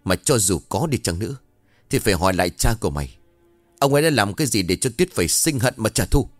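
A man's voice: lowish voice, lively intonation, fast talker, no room sound, soft background music. A man voicing a menacing widower defending his dead wife, growling threats in a Southern Vietnamese accent. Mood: scornful. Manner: growled threats and sharp challenges.

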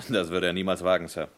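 An actor playing an eager young police lieutenant who reports to his chief briskly and deferentially in an old radio drama. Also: low-pitched, lightly acted. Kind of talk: 1960s radio drama acting.

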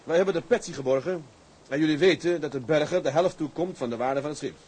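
A firm, authoritative sea captain, acted by a man, with a fairly high voice. He speaks to his crew matter-of-factly, as in a period seafaring drama, over clearly noisy ambience. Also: quick pace, a little mellow, natural voice. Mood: firm, announcing.

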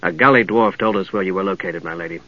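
A man's voice: sits low, fast talker, muffled recording, pitched below the performer's own voice. A man voicing a courtly, confident male knight who speaks formally and gallantly in a fantasy audiobook. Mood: courteous.

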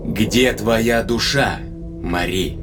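Coaxing starship captain, music behind. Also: male voice, on the low side, extremely slow. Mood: probing, grave. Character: male spaceship captain, long-lived, tenderly probing his companion. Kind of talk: Russian audio drama acting.

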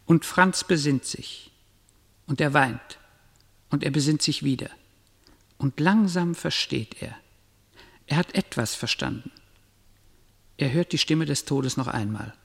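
Solemn radio play narrator, kinda high creaky voice, really slow, big reverb. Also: male voice, heavily acted. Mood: solemn, intense. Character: solemn male narrator of Franz's reckoning with Death. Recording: vintage radio drama, long echoing reverb.

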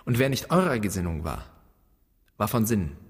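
A man's voice: on the low side, very animated, normal speed. An actor playing a bitter grown son who broods and declaims against his absent father in a literary radio drama. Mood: bitter, accusing. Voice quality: husky. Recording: long reverberant hall.

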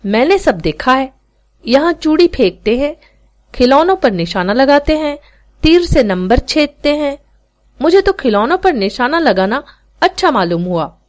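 Give the story a proud, self-reliant teenage street magician, read by a woman storyteller. The boy speaks in a high, lively voice at an unhurried pace. His words flow confidently, without a stumble. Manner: fluent, unhesitating, proud speech.

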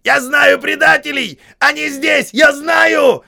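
A man voicing a foul-mouthed, groveling army sergeant who screams and pleads in a shrill, hoarse voice, in an audiobook. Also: normal speed, pushed and bright, heavily acted. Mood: hysterical. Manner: screaming, pleading, spitting insults.

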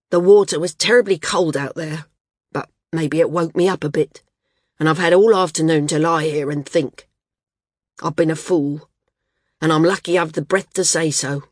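Woman playing a hearty, husky, frank man. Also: sits low, dry recording, clean studio sound. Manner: loud, frank, teasing. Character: booming, hearty, good-natured ailing man.

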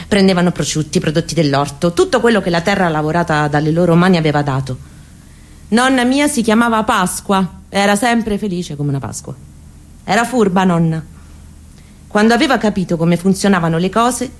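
A woman with a Roman accent performing a dramatic personal monologue, expressive and intimate, with deliberate phrasing. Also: medium pitch. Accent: central Italian, Roman. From near Rome, Italy. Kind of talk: dramatic autobiographical storytelling monologue.

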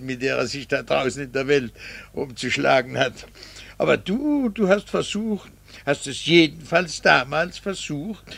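Scharf klingender, verbitterter Ehemann mit knarrender Stimme. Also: male voice, fairly high pitch, vocal fry, bright, forceful tone, no room sound, exaggerated voice. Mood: bitter, accusing. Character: embittered, coldly vengeful middle-aged husband and thwarted academic. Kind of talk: German radio play acting.

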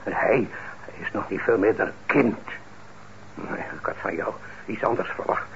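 An actor playing a stern, principled middle-aged father in a radio drama, speaking in a measured, admonishing way. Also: lowish voice, gravelly, fairly bright tone. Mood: earnest, admonishing. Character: stern, principled father and craftsman, indignant but fair. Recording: muffled old radio recording, faint noise.

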